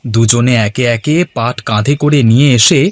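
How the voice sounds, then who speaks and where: A man's voice: medium pitch, moderate pace, natural voice. A man playing a patient, hardworking village farmer, husband and father in a folk-tale cartoon dub. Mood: practical, coaxing. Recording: music behind the voice.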